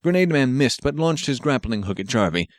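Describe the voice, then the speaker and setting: A man reads an action story as an audiobook narrator. His voice sits at an average male pitch, and the recording is very dry, with no room sound around it. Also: sing-song, a little fast, no room sound.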